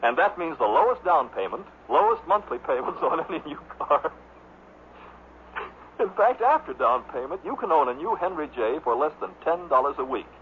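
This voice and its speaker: Very animated American announcer, tinny radio. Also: male voice, on the high side, normal speed. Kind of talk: radio ad read. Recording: tinny vintage radio, faint noise.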